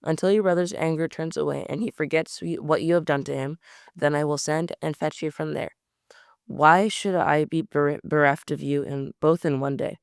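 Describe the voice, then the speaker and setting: Man, bible reader, smooth yet gravelly voice, dry close sound, occasional self-corrections. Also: a little high, moderate pace, no room sound. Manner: steady reading with frequent stumbles and self-corrections. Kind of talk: reading scripture aloud.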